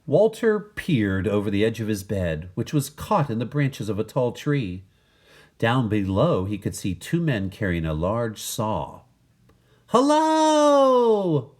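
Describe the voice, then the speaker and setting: A man reading a children's picture book aloud in an animated, dramatic manner. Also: fairly low pitch, unhurried. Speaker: story reader.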